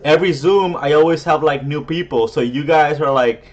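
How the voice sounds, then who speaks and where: A man with a Spanish accent hosting a video roundtable, excitable and full of fillers. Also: on the high side, normal speed, lo-fi sound. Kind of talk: casual chat. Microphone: video call.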